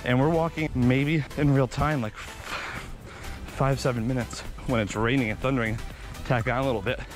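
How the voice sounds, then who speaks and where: A man's voice: medium pitch, moderate pace, music in the background. Man telling stories while jogging on a beach. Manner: animated, excitable storytelling with sound effects. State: slightly out of breath from running. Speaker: vlogger. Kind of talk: casual storytelling while jogging. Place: outdoors on a sandy beach. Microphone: body-mounted action camera.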